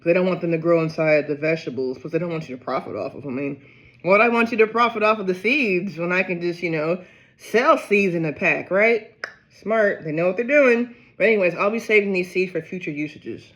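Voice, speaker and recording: A man from Ohio chatting casually to camera in a gardening vlog, rambling and low on energy, often breaking into excited exclamations. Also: a little fast. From Ohio, USA. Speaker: gardening vlogger.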